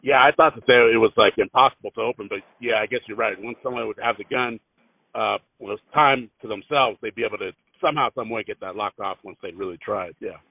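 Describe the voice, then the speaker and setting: A man conducts a casual interview over a telephone line. His voice is creaky at the edges and quite dry, with little room sound. He phrases his questions hesitantly and wanders a bit, and the line makes him sound narrow and muffled. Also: on the low side, fast, no echo. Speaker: interviewer on a phone call. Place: telephone call. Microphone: phone line.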